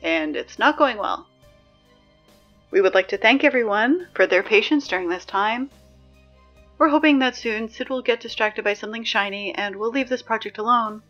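A woman playing a sarcastic, exasperated high school announcer in a scripted audio drama, dry and emphatic in delivery. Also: normal speed, fairly bright tone, dull audio, soft background music, lightly acted. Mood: dry, matter-of-fact. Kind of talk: scripted fiction podcast, PA announcements.

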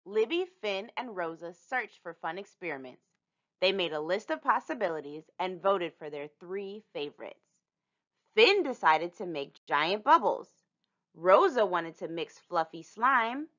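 A woman reading a children's picture book aloud in an expressive storytelling manner. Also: lowish voice, measured pace, highs cut off. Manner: animated, storytelling delivery for young children.

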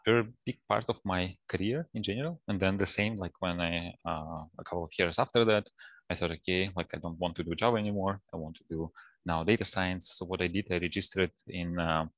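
A man in his thirties, a native Russian speaker with a Russian accent, talking casually and haltingly as an interview guest over a headset. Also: sits low, fairly bright tone, dry recording. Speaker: guest, data science professional. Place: office, indoors, on a video call.

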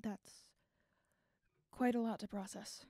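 Woman playing wry guarded young adult, mellow soft-edged tone, dry recording, audio drama. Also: mid-range voice, steady tone, slow, no echo.

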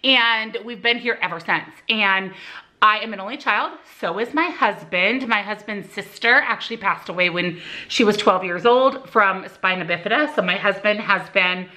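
Bright-voiced woman in her forties chatting. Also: medium pitch, moderate pace, poor audio. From United States. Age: 40s. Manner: friendly and talkative while applying makeup. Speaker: YouTuber and real estate agent. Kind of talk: casual chatty makeup tutorial and Q&A. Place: home kitchen indoors. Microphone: camera on a tripod close in front.

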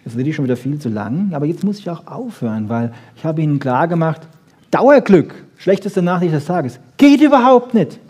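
A man giving a popular science lecture with wry humour, rhetorical questions and short checking tags, in a husky voice. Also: on the high side, big pitch swings, moderate pace, darkish tone. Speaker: lecturer on brain research.

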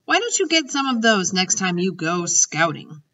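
Little girl for a storybook played by a woman: proper, questioning, outspoken, bright tone, distant dullish sound. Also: lowish voice, very animated, moderate pace, highs cut off, heavily acted. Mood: insistent.

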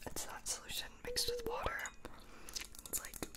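A woman's voice: extremely high pitch, wildly swooping pitch. Whispering young woman, ASMR roleplay. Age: 20s. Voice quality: breathy, unvoiced whisper. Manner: nonchalant, rambling, halting. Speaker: ASMR performer role-playing a makeup artist. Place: small room at home, indoors. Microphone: close mic, facing camera.

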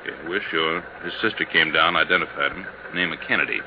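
A man's voice: rumbling low, fairly quick. An American man acting a laconic, plain-spoken police sergeant in a 1950s radio drama, terse and matter-of-fact. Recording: muffled, noisy 1950s radio recording.

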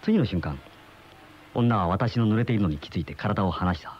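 An actor playing an uneasy middle-aged husband in a radio mystery, with brooding, dramatic storytelling. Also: male voice, lowish voice, animated, brisk, natural voice. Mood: brooding, dreamy. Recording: muffled old radio drama recording, music behind.

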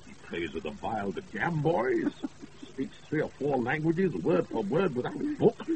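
An actor playing a blustering, boozy, roguish knight in a comic classical radio play. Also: male voice, medium pitch, very animated, moderate pace, darkish tone, rough recording. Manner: blustering, exclamatory. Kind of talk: comic Shakespearean radio acting. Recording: hissy off-air radio recording.

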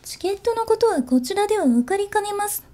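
A woman voicing a politely teasing zombie bunny flight attendant in a Japanese ASMR voice drama, soft and formal. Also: extremely high pitch, animated, a little fast, noisy background, voice pushed up.

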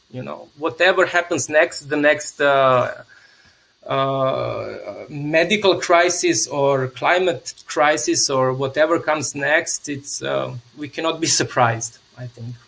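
A man's voice: on the high side, creaky, a bit bright. A man in his thirties from Serbia, talking in a casual, rambling, thoughtful way with frequent restarts, on a video call from a small room at home. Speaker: guest, theatre artist. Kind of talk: casual conversation. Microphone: video call webcam.

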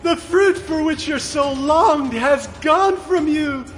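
A man's voice: very high-pitched, faint music behind. A man playing an anguished merchant wailing over lost wealth in a dramatized audio Bible. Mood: anguished lamenting. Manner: wailing, drawn-out lament.

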